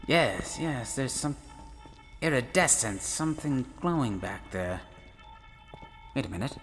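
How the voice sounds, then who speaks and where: In a long, hall-like reverb, an intense scholarly professor played by a man speaks with a bright, forceful edge and lively swings of pitch. He is protective, theatrical and emphatic, a sci-fi audio drama explorer. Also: measured pace. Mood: baffled, intrigued. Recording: long hall reverb, faint music behind.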